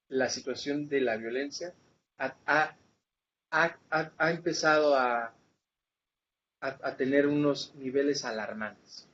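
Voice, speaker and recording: A man's voice: mid-range voice, fairly bright tone. Man lecturing, muffled noisy recording. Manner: didactic, checks understanding with rhetorical questions. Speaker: lecturer leading a seminar session. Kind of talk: academic lecture with questions to participants.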